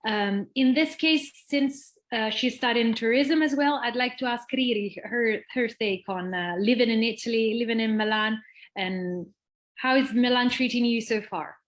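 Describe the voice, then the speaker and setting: A young woman hosts an online webinar over a video call, speaking in a slightly higher voice. She is upbeat and friendly, but pauses often with fillers as she talks through her points. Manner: hesitant, many fillers, upbeat. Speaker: university representative hosting a webinar. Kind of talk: presentation and moderating.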